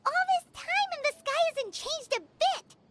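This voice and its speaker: A woman voicing a tiny, excitable floating companion in a video game, with a squeaky, childlike voice. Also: unhurried, big-room sound, full character voice. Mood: excited. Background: game music.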